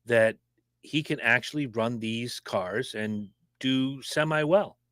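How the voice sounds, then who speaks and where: Brief: fast-talking, opinionated male podcast co-host, dry close sound. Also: mid-range voice, no room sound. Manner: opinionated, animated, quick-talking. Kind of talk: casual sports chat.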